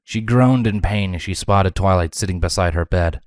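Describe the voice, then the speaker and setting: A man's voice: deep voice, normal speed, dry recording. Man narrating a story, dry close sound. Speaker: fan fiction narrator.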